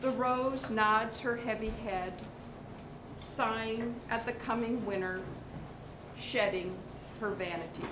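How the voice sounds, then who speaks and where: Slow-reading woman in an echoey room. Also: a little high. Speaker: poetry reader. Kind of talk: slow, measured poetry reading. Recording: echoey room with clear background noise.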